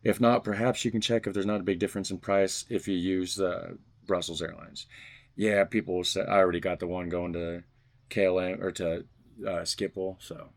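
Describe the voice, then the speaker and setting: Low-voiced man reading a letter aloud. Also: little pitch movement, normal speed, gravelly. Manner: reads stiffly, then jokes loosely between passages. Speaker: vlogger unboxing fan mail.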